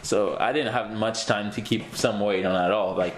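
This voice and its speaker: A man's voice: fairly low pitch, fairly even intonation, normal speed, reverberant, noisy background. A young man from Haiti, a guest in a casual interview, talking easily with many fillers and frequent laughs.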